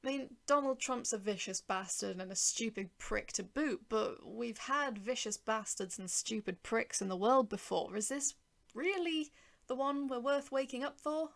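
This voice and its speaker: A woman's voice: on the high side, normal speed, vocal fry, fairly bright tone. A woman narrating a first-person short story and voicing its dialogue, with a wry, sardonic manner. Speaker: writer and narrator.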